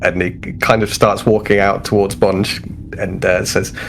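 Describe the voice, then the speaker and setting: A man's voice: deep voice, little pitch movement, moderate pace, creaky, a little hiss or hum. A man chatting casually as a player in a tabletop game, improvising narration for his character.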